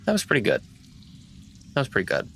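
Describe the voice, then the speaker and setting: A man's voice: medium pitch, wildly swooping pitch, quick pace, fairly bright tone, a little hiss or hum. A man running a tabletop roleplaying game as game master, switching between casual table talk and narration.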